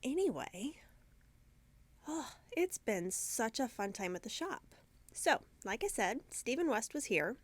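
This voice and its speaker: Croaky, congested woman chatting casually. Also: a little high, no room sound. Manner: chatty and rambling, self-deprecating, loses her train of thought. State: congested, croaky from sinus trouble. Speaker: yarn shop owner and podcast host. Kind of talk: casual video podcast chat. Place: her yarn shop, indoors. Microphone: camera mic, talking alone to camera.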